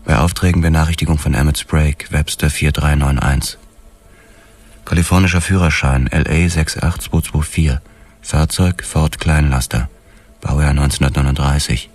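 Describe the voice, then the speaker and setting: An actor playing a haunted, hard-boiled police detective, narrating a noir radio drama in measured, clipped phrases. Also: male voice, a bass voice, fairly even intonation. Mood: tense, methodical. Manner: measured, clipped, grim storytelling. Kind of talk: scripted first-person noir crime narration, radio drama.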